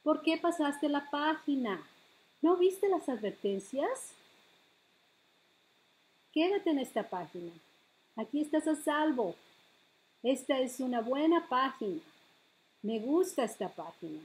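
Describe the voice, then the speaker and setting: A middle-aged woman hosts a bilingual storytime as a children's librarian. Her voice sits a little high and she goes very slowly and deliberately through the picture book. She reads expressively for preschoolers in a living room at home. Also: poor audio. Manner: expressive, deliberate, switching between English and Spanish.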